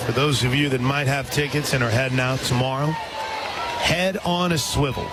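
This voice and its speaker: A man doing live play-by-play softball commentary for radio as the announcer. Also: medium pitch, steady tone, normal speed. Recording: loud crowd and stadium noise. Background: loud crowd, stadium ambience.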